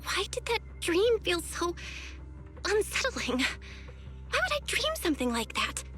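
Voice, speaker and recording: An actress playing a caring young woman who leads a video game, speaking an introspective monologue in a pensive, expressive way. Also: very high-pitched, unhurried, faint music behind, full character voice. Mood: uneasy, restless.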